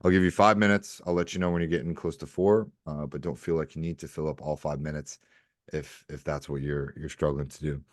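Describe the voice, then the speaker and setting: Male podcast host with a very deep voice and dry, close sound; upbeat and encouraging. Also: steady tone, fairly quick, dry recording. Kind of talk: podcast hosting.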